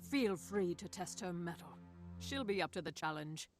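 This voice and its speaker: A haughty video game villainess performed by a woman, speaking in a fairly low voice. She is mock-courteous and challenging, with clear music behind her. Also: animated, normal speed, lightly acted. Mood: haughty, taunting. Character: haughty villainess taunting intruders over her pet. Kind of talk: video game cutscene acting.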